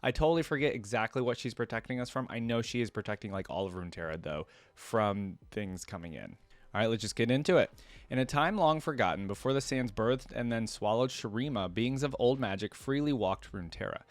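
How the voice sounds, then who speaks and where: A man's voice: medium pitch, fairly quick, no room sound. Casual man hosting reaction videos, dry sound. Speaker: host of a video game lore reaction series. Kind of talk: casual reaction commentary mixed with reading aloud.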